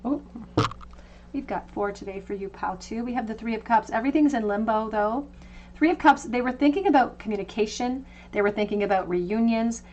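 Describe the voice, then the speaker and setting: Woman, tarot reader, medium pitch, conversational, reassuring. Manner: intuitive, conversational, gently reassuring. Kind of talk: tarot card reading to camera.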